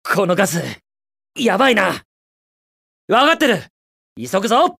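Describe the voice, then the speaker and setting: A man's voice: high-pitched, sing-song, unhurried, pushed and bright, no room sound, studio quality, heavily acted. A man voicing a cocky, carefree young hedgehog hero in a video game, with breezy, confident, slangy delivery. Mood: wary, calm.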